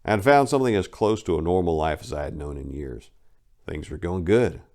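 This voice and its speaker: A man's voice: sits low, lively intonation, fairly quick, a little mellow. A man giving a first-person dramatic reading of a memoir, telling it bluntly and wryly with sudden emphatic lifts in his voice. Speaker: narrator reading a memoir aloud.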